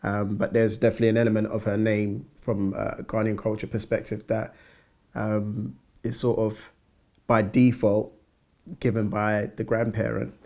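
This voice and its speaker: Low, flat-voiced man. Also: moderate pace, creaky. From southwest London. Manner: relaxed, hesitant, many ums. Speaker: married guest sharing his experience. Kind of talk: casual personal testimony.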